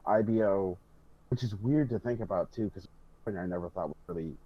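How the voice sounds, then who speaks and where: A man's voice: fairly low pitch, fairly quick. A man chatting casually as a podcast panelist, rambling and rephrasing as he goes. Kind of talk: casual hobby chat. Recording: dull, close, dry lo-fi voice track.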